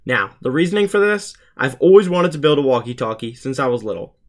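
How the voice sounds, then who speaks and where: A teenage young man presents a scripted explainer in the style of a student YouTuber. His voice sits at an average male pitch. He is earnest and plain in how he announces each part. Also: big pitch swings, a little fast.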